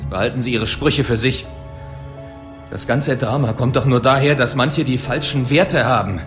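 An actor playing a hard, bitter, vengeful police detective in a radio drama, speaking in a curt, intense, biting way. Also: male voice, medium pitch, normal speed, fairly bright tone, soft background music, lightly acted. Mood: bitter anger. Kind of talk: German radio drama acting.

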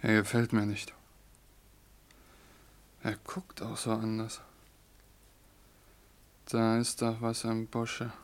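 An actor playing a suspicious, watchful village pub regular in a German radio play, curt and commanding. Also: male voice, on the low side, monotone, takes it slowly, pauses often.

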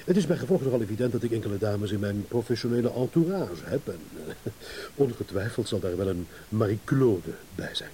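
A warm, dark male voice with lively swings of pitch, on a slightly hissy radio drama recording. The actor plays a confident businessman. He is courteous and indulgent, a touch patronising and guarded. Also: fairly quick, close to an everyday voice. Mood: guarded, businesslike. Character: self-assured, indulgent businessman, politely amused, guarded. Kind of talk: radio play acting.

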